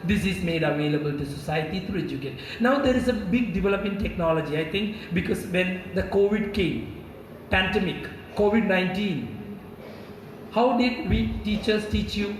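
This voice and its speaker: A man's voice: high voice, normal speed, slight background noise. A man from Kerala with an Indian English accent and Malayalam as his first language, giving a classroom lecture and quizzing students with repeated key words. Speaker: visiting professor. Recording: echoey room, mic far off.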